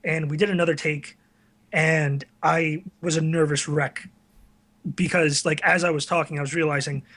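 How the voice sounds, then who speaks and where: A man's voice: on the high side, fairly even intonation, moderate pace, fairly bright tone. A man talking casually as a podcast guest, telling candid, self-deprecating stories in a conversational way. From US tri-state area. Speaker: podcast guest, online video creator.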